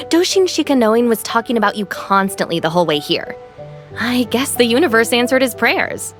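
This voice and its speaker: Sensible young female game deputy: dry, wry, fairly high voice with lively inflection. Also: moderate pace, faint music behind. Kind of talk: video game voice acting.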